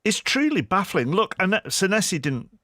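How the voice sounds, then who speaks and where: A man hosting a lively football discussion, probing his guests with questions and pointed remarks. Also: high-pitched, moderate pace, no room sound, clean studio sound. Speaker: radio show host.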